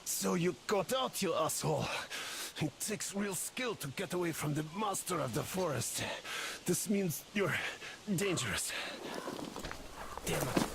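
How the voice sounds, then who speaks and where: A man playing a grim, bitter forest hunter in a video game, brooding and halting. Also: sits high, lively intonation, gravelly, a bit bright, slight background noise, heavily acted. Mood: bitter, resigned.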